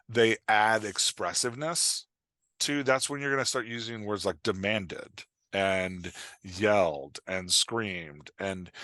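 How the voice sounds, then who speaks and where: A man's voice: fairly low pitch, sharp tone, no echo. A man chatting casually as a podcast guest, coaching on a craft, explaining with improvised examples and frequent "like" and "right?" check-ins.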